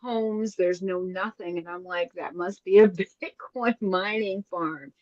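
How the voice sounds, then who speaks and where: A woman's voice: lowish voice, unhurried, dry recording. A woman from Texas hosts in a loose, chatty way, full of little fillers. Her voice comes through a dull, muffled line. The sound is dry, with no room around it. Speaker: host. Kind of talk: casual chat.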